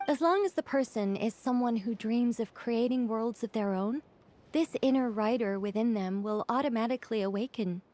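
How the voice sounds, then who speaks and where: A woman voicing an elegant, composed woman who speaks in a measured and gently teasing way. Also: on the high side, natural voice. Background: faint game music.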